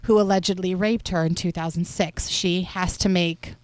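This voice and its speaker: A woman's voice: fairly low pitch, steady tone, moderate pace, darkish tone, no echo. A woman co-hosting a radio show, reading entertainment headlines and chatting about them casually. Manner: chatty, reads headlines then riffs. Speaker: radio co-host. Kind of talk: casual entertainment news chat.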